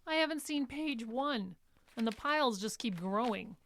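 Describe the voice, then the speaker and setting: An actress playing a weary, reluctant young care worker in an audio drama, with hesitant, understated replies. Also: female voice, a little high, lively intonation, normal speed, a bit bright, slight background noise. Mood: skeptical. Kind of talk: live audio drama acting, naturalistic.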